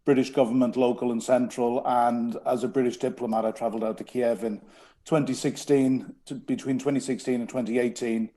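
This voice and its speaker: A man's voice: medium pitch, fairly even intonation, a little fast, mellow. A man with a Dublin Irish accent, a guest on a radio interview, talking earnestly with many hesitations and restarts. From Ireland. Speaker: guest, former strategic communications advisor.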